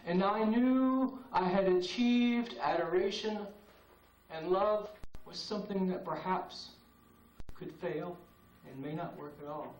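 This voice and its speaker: A man performing spoken-word poetry at an open mic, with a slow, solemn, incantatory delivery and long pauses. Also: high-pitched, slight background noise. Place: open mic night in a cafe. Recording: roomy, distant VHS tape recording.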